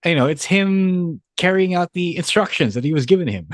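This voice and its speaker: A man with a Filipino accent co-hosting a podcast, chatting casually in mixed English and Tagalog, animated and laughing often. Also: high voice, moderate pace, no echo. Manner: animated, laughs often, restarts mid-sentence. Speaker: podcast co-host.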